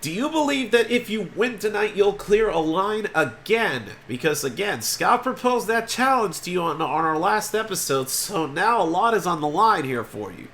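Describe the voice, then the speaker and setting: An upbeat, chatty male game-show host whose voice sounds congested from allergies. He talks in a fairly high register and clears his throat now and then. A faint noise hums underneath. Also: very animated, moderate pace, hardly any pauses, a bit bright. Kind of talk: homemade game-show hosting.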